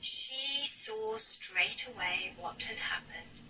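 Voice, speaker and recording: A woman narrating a scripted fairy tale for a children's puppet show, with theatrical, deliberate phrasing. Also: on the high side, sharp tone, in a whisper, far from the mic, soft background music, rough recording.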